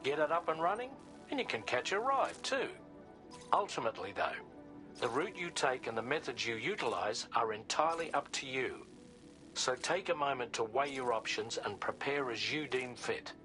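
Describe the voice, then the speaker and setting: A man playing a practical adviser who briefs a courier on routes in a game cutscene, in a steady, colloquial manner. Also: medium pitch, moderate pace, natural voice. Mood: calm. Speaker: mission supporter. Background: game music.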